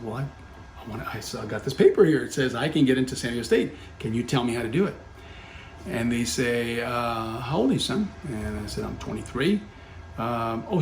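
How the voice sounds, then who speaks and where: A middle-aged man telling a personal story in casual talk, full of fillers and self-corrections. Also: medium pitch. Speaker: podcast guest, company founder. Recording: roomy echo with faint background hum.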